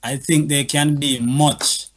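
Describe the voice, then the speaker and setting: A man's voice: on the high side, little pitch movement, takes it slowly, background noise. A man talking as a panelist on a radio show over a call, earnest and full of "you know". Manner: earnest, patois phrasing, lots of "you know". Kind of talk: casual radio chat. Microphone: video call.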